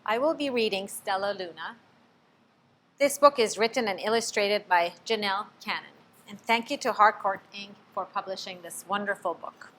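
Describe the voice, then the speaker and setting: A woman's voice: mid-range voice, a little hiss or hum, rough recording. Woman reading a picture book aloud. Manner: expressive, pauses to ask the listener questions. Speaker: storybook reader.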